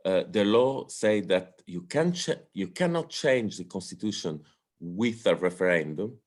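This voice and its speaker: A man's voice: sits low, animated, unhurried, darkish tone. A man with a strong Italian accent giving a talk in English, hesitant, with many fillers and restarts. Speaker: guest speaker on democracy. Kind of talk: lecture-style talk.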